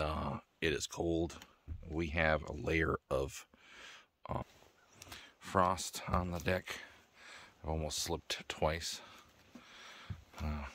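A man, casually vlogging to a phone held at arm's length, outdoors and in a car, rambling with lots of fillers. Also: extremely low pitch. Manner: relaxed, rambling with many fillers. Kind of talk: casual vlog narration.

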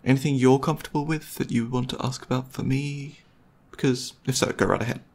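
ASMR roleplay boyfriend, male actor: mid-pitched, gravelly, goofy, caring, hesitant, intimate casual delivery. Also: fairly even intonation, a little fast, darkish tone. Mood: earnest, hesitant. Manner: hesitant, rambling, playful. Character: goofy, caring, slightly nervous boyfriend on a movie date.

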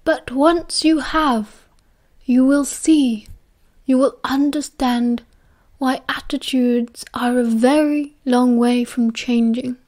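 A woman reading as a soft-spoken old wizard. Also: high-pitched, fairly even intonation. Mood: calm, measured. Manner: slow, soft, half-whispered delivery. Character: old male headmaster wizard, calm and measured.

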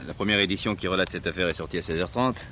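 An actor playing a methodical, polite but firm police inspector in a French radio drama, speaking formally. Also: male voice, low voice, rapid-fire, bright, forceful tone. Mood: firm, probing. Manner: formal, probing. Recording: slightly noisy old radio drama recording.

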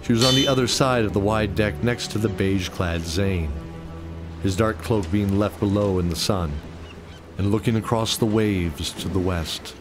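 A man's voice: fairly low pitch, fairly even intonation, moderate pace, over music. A man reading scripted dramatic narration as the narrator of a fantasy story.

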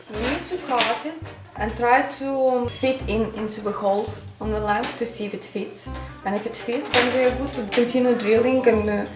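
A woman's voice: fairly high pitch, moderate pace. A woman chatting casually as she helps with a hands-on DIY job indoors. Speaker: helper on a DIY build. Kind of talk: casual chat while working. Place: indoor basement. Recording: echoey room with music over it.

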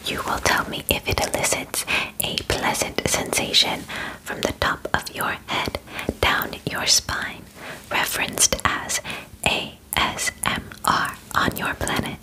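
Whispering young woman, slow and soothing. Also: low-quality recording. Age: 20s. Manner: formal, soothing, deliberate phrasing. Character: polite, clinical female alien examiner, calmly reassuring. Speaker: ASMR performer. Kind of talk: whispered ASMR roleplay. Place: small bedroom, indoors.

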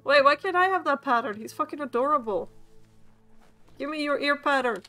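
A woman's voice: sits high, animated, brisk, pushed and bright, faint music behind. A woman from Sweden with a mild Swedish accent, chatting casually and swearing as she streams a game with rambling asides. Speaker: VTuber streamer. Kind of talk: casual gameplay commentary.

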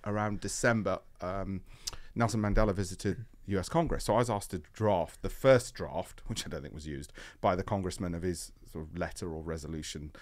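Deep-voiced man from Leeds, animated. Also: no room sound, studio quality. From Leeds, England. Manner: animated, joking, quick asides, frequent restarts. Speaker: podcast guest. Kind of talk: casual interview chat. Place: podcast studio. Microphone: desk mic on a boom arm.

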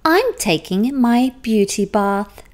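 A vain and prim female unicorn in a children's storybook, played by a woman. She speaks high, with animated swings of pitch and a dramatic, easily exasperated air. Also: slow pace. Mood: smug. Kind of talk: children's picture book read-aloud.

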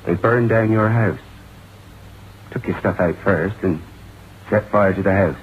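An actor playing a matter-of-fact police sergeant in an old-time radio drama, speaking in a measured, soothing way. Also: male voice, fairly low pitch, close to an everyday voice. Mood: calm, sober. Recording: tinny old radio recording, faint noise.

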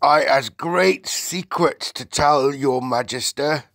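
A storybook reader voicing a friendly, childlike giant who speaks in effusive, halting, ungrammatical bursts. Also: mid-range voice, gravelly, fairly bright tone, no room sound, pitched above the performer's own voice. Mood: halting, earnest. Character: friendly giant, eager, reverent, childlike, muddling his words.

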